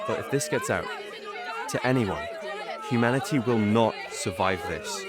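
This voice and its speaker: A young man playing a despairing radio reporter who witnesses an alien invasion, speaking haltingly in a dramatic monologue. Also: on the low side, animated, over a noisy crowd, close to an everyday voice. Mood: panicked, resigned. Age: young adult. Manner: halting, muttering, breaking off.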